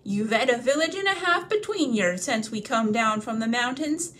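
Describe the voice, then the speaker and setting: A woman voicing a coarse male troll, rough and bullying. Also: fairly high pitch, animated, normal speed, fairly bright tone, voice pushed up. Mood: grumbling.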